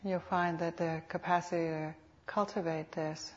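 Low, even-voiced woman guiding meditation. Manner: unhurried, soothing, with reflective pauses. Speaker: meditation teacher. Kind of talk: guided meditation instruction. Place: meditation center. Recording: dull, lo-fi live talk recording.

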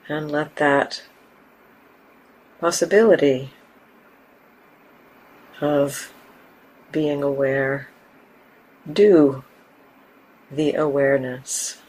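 A woman teaching a guided meditation in a gentle, unhurried manner, leaving long pauses between short phrases. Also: low voice, low-quality recording. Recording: steady background hiss and room ambience.

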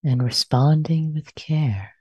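A woman guides a meditation in a soft, breathy and mellow voice. She takes her time, speaking very slowly and gently repeating short phrases. The sound is dry and intimate. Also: low voice, no room sound. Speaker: meditation teacher.

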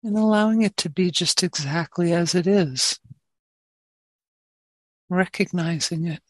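Slow, low-voiced woman guiding meditation. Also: no echo. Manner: gentle, unhurried instruction with long pauses. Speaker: meditation teacher. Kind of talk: guided meditation.

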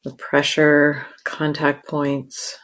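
Slow, low-pitched woman guiding meditation. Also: monotone, a bit bright. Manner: measured, with long pauses between short phrases. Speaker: meditation teacher. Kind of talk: guided meditation.

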